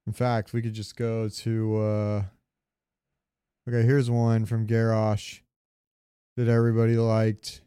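A man's voice: lowish voice, monotone, slow pace, pauses often, warm tone, smooth, no echo, studio quality. A man co-hosting a casual gaming podcast, talking in a rambling way with meandering asides. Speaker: podcast co-host.

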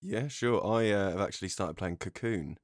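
Male co-host, deep pitch, conversational, recorded dry. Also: expressive, normal speed, dry recording. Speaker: podcast co-host. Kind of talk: casual chat.

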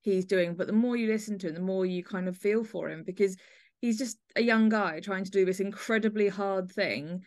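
A woman's voice: mid-range voice, a little fast, darkish tone. A woman hosting a podcast, chatting conversationally as she reviews audio drama. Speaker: podcast host.

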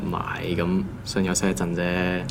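An actor playing a young office worker who grumbles and answers back casually in a radio drama. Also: male voice, low-pitched, monotone, moderate pace, vocal fry, slight background noise. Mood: sullen. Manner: grumbling, casual, dry retorts. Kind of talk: Cantonese radio drama acting.

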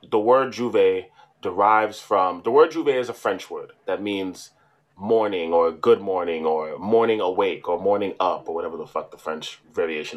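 Low-voiced man explaining casually. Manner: explains at length, with many "right?" asides. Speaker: podcast co-host. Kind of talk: casual chat.

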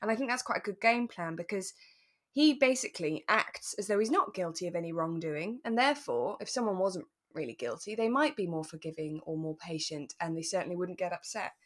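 A woman's voice: fairly low pitch, lively intonation, a little fast. Woman podcast co-host chatting enthusiastically. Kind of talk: casual chat reviewing a radio drama.

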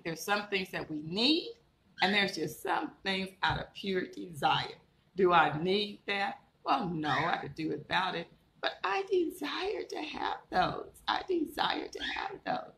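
A woman minister preaches to her online congregation in a low, gravelly voice. She keeps coming back to the same encouraging points and builds them up into emphatic declarations. Her voice rings around a room full of echo, with a faint hiss underneath. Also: a bit bright. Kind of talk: live-streamed sermon. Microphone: live stream setup. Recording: echoey room with faint background noise.

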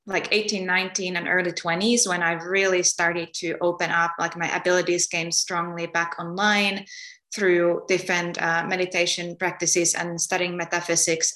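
Bright-toned woman from Finland. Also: fairly low pitch. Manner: soft-spoken, searching for words with many ums and restarts. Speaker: guest, energy healer. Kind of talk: relaxed spoken interview.

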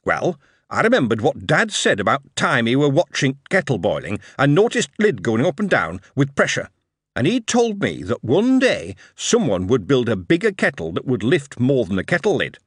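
A man's voice: mid-range voice, huge pitch swings, moderate pace, husky, no echo, clean studio sound, voice pushed up. A man voicing an eager young Yorkshire lad whose words tumble out as he explains his inventions.

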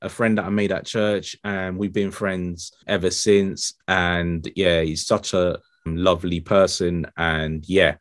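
Man, podcast host, very deep voice, friendly, rambling, dry close sound. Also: flat delivery, takes it slowly, dry recording. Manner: friendly, rambling, many fillers. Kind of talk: casual interview chat.

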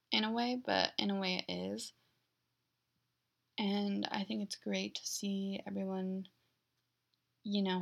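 A young woman talks casually on her own podcast in an even, monotone delivery that sounds less enthusiastic than she means. Her voice has a gravelly vocal fry, and her speech is filled with "like". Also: mid-range voice. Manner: heavy use of "like" as a filler. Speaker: podcast host. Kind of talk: casual solo podcast monologue.

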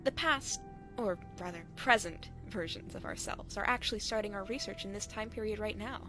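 A woman's voice: mid-range voice, normal speed, sharp tone, soft background music, close to an everyday voice. A woman playing a time-travel researcher in a science fiction audio drama. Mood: explaining.

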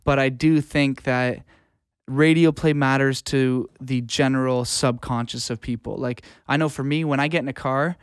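Male podcast guest, music producer type. Clear resonant mid-pitched voice, reflective and conversational, recorded dry. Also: fairly even intonation, normal speed, dry recording. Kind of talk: casual interview conversation.

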